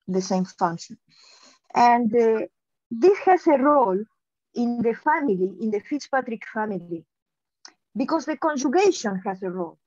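A woman's voice: fairly high pitch, a little mellow, dry recording. A middle-aged woman on a video call, giving an explanatory academic answer and often restarting her phrases. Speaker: keynote speaker, mathematician. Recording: dull, lo-fi video call audio.

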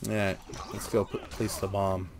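A man's voice: on the low side, little pitch movement, measured pace. A man giving casual gameplay commentary in an offhand, muttering way. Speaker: gaming streamer. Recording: constant game noise behind. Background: fantasy video game sounds.

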